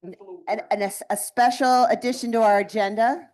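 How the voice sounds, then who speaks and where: Moderator, woman, brisk upbeat conference Q&A host. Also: a little high, steady tone, a little mellow.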